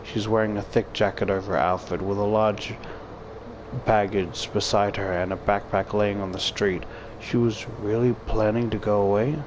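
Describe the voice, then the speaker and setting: A man's voice: lowish voice, fairly even intonation, normal speed, background noise. A man reading a visual novel aloud as a YouTuber, stumbling now and then and adding casual commentary. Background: game music.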